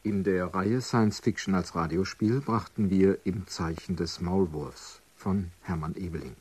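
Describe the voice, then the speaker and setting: A man reading scripted closing credits as a formal, measured radio announcer. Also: low voice, darkish tone, breathy edge.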